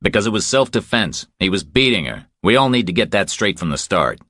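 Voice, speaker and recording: A man's voice: on the low side, big pitch swings, a little fast, sharp tone, no room sound, studio quality, pitched above the performer's own voice, heavily acted. A man voicing a blunt, terse former military policeman in an audiobook, speaking with urgent insistence. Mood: urgent, insistent.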